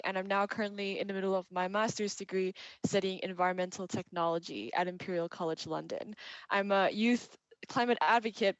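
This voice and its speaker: A woman's voice: mid-range voice, dry recording. A woman in her twenties from Hong Kong, a climate advocate introducing herself fluently on a panel. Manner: fluent and articulate. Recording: dry, dull lo-fi sound.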